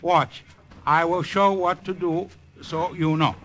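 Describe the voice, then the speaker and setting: Slow, bright-voiced jungle guide, clipped broken English. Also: male voice, fairly high pitch, steady tone. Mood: calm, instructive. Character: calm, capable male jungle villager guide, stoic and practical. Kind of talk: 1940s-50s radio drama acting. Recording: noisy vintage radio recording.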